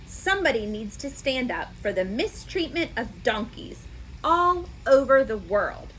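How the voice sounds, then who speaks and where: A stubborn young storybook donkey, as a woman reader puts it on, grumbling with theatrical indignation in a fairly high voice. The delivery is unhurried, and there is faint noise behind it. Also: very animated, fairly bright tone, rough recording. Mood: huffy. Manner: indignant, exaggerated storybook complaining. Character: stubborn, huffy young donkey telling his side. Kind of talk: children's storybook read-aloud.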